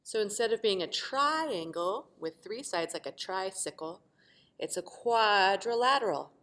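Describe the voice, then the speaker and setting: Lady teacher doing a kids read-aloud, very lively up and down voice, stops to ask questions. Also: medium pitch, measured pace. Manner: animated, asks the listeners questions. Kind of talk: expressive children's read-aloud with simple explanations.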